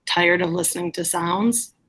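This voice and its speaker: A woman teacher with a husky voice reading a play script aloud and directing readers in an online class. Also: fairly low pitch, normal speed, fairly bright tone, a little hiss or hum.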